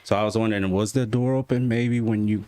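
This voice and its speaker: A man's voice: on the low side, fairly even intonation, quick pace, a little mellow, dry recording. A man co-hosting a podcast, telling a story in a laid-back, joking way and swearing casually. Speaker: podcast co-host.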